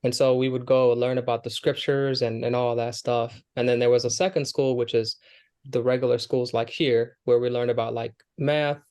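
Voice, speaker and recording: An earnest young man tells his life story in a relaxed interview. His pitch sits in a middle range. He talks with lots of "like" and restarts. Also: moderate pace, clear, resonant tone. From Kenya, Somali family. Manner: earnest, with frequent fillers and restarts. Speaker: guest, Air Force airman.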